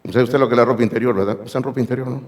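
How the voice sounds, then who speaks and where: A hoarse man preaching a sermon as pastor, emphatic and speaking directly to his congregation in a church sanctuary. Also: medium pitch, steady tone, racing. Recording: long reverb of a church hall.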